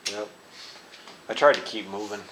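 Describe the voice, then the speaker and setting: A man's voice: lowish voice, normal speed, heavy background noise. A man hosting in a casual, conversational way. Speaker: host. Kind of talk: casual chat.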